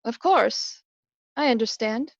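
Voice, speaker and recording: A woman's voice: fairly high pitch, lively intonation, takes it slowly, dry recording, dull audio. An actress playing an eager, persistent female sleuth in a radio drama, earnest and probing. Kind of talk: old-time radio drama acting.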